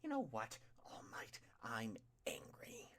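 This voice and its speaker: A man's voice: medium pitch, wildly swooping pitch, slow pace, a bit bright, exaggerated voice. A man voicing a bitter, mocking young male villain with a strained, whispery voice and theatrical delivery. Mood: mocking, angry.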